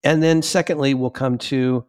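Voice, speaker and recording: Male podcast host, a bishop, asks rambling questions in a chatty way, mid-pitched voice, remote call. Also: steady tone, moderate pace, darkish tone. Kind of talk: conversational interview.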